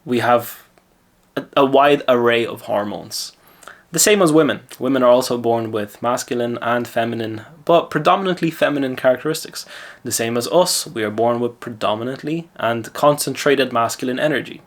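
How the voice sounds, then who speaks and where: A man's voice: mid-range voice, moderate pace. A man from Lithuania with an Irish accent, a mindset coach telling a gym story in casual talk and then giving self-assured advice. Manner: chatty, self-assured, lecturing.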